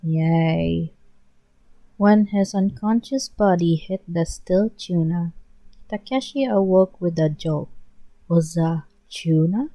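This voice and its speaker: A woman reading fan fiction aloud in an expressive storytelling style, on a muffled home recording. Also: fairly low pitch, takes it slowly, no echo.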